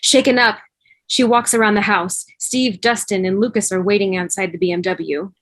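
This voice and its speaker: A woman's voice: medium pitch, steady tone, moderate pace, a bit bright, no echo. A woman reading stage directions as the narrator of a scripted table read.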